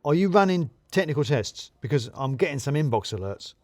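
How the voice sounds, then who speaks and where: A man's voice: fairly high pitch, lively intonation, a little fast, a little mellow, no room sound, clean studio sound, close to an everyday voice. A man voicing a self-important male office boss in an audiobook story, speaking in a pompous, emphatic, jargon-heavy way. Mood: brisk, officious.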